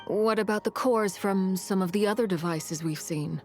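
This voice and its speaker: A woman's voice: mid-range voice, moderate pace, soft background music, natural voice. A woman voicing a determined traveler in a video game, speaking seriously and directly with some urgency.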